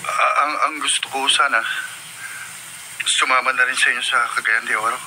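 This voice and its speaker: A man's voice: medium pitch, fairly even intonation, moderate pace, lightly acted. An actor playing a troubled, humble younger man in a Filipino radio drama, solemn, respectful and hesitant. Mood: resigned, solemn. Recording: noisy radio broadcast.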